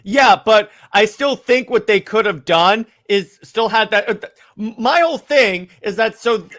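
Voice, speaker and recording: A man's voice: high-pitched, animated, normal speed, fairly bright tone. A man co-hosting a casual podcast chat about comics, stammering and restarting often as he argues his point. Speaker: podcast co-host.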